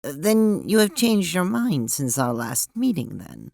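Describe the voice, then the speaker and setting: A stilted, foreboding alien troll, played by a woman in an audio drama. The voice is low and slow, swinging widely in pitch with stiff formality. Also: big pitch swings, creaky, warm tone, no echo, clean studio sound, exaggerated voice. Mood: affronted. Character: prim, ominous, stilted alien troll stranger.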